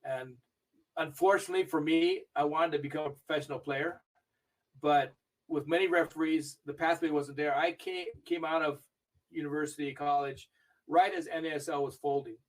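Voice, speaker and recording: An older man who grew up in Argentina, telling stories in a chatty, animated way as a podcast interview guest. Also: on the high side, moderate pace, distant mic, poor audio. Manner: chatty storytelling, animated when recalling matches. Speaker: interview guest, video review manager.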